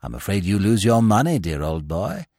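Man putting on a smug sneaky young guy, low and quiet, real oily about it. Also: very animated, moderate pace, no echo, studio quality, heavily acted. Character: sly young male swindler, smug and unflappable. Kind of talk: audiobook character voice.

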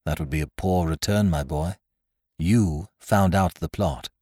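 A man reading aloud and voicing a stern but kindly older male doctor, speaking gravely and sadly. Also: sits low, darkish tone, dry recording, studio quality. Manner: grave, sad, measured.

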